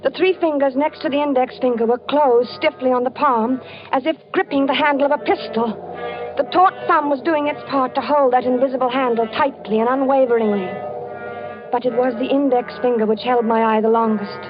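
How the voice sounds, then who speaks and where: A woman's voice: sits high, fairly even intonation, a little fast, lightly acted. A woman playing an anxious wife narrating. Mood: somber. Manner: earnest, anxious storytelling. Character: frightened wife narrating her husband's death. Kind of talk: 1940s radio acting. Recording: dull vintage radio recording with music.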